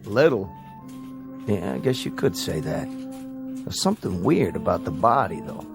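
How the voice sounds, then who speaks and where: An earnest newspaper columnist in a radio drama. His voice is on the low side, plain and pensive, with music clearly playing behind him. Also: big pitch swings, normal speed, exaggerated voice. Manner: matter-of-fact, pensive. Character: earnest, brooding male newspaper sports columnist.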